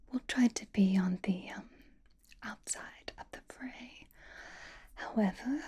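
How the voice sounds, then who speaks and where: A slow, whispering vampiress, played by a woman, elegant and flirtatious. Her breathy voice is soft and mellow, coaxing with patient, fond exasperation. It suits an intimate ASMR roleplay at a gothic gala. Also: medium pitch.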